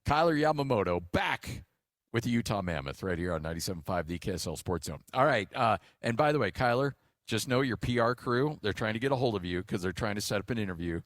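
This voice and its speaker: An American man hosting sports radio, bantering casually and telling stories in a jokey, animated way. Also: fairly low pitch, quick pace, dry recording, studio quality.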